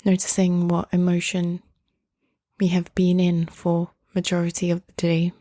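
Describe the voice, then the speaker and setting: Slow, mellow, low-voiced woman guiding meditation. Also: completely flat delivery, no echo, clean studio sound. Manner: gentle, unhurried, with long pauses between phrases. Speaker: guided meditation host. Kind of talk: guided meditation, slow and soothing.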